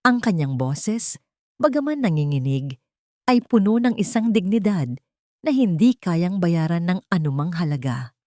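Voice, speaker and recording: A woman's voice: lowish voice, big pitch swings, measured pace, dark tone, dry recording, studio quality. A woman narrator reading a scripted, dramatic story as an audio drama.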